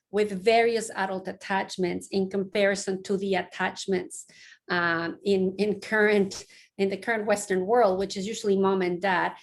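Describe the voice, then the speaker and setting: Middle-aged woman from Mexico, psychologist, relaxed lecture delivery with frequent ums and restarts, mid pitch. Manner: conversational, many fillers and restarts. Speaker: psychologist giving a public talk. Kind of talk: lecture-style online talk. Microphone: online talk, close mic.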